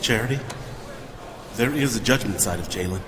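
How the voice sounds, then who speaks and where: An actor playing a sober, wary friend in an audio drama, grave and cautious about judgment. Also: male voice, fairly low pitch, moderate pace, noisy background, close to an everyday voice. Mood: worried.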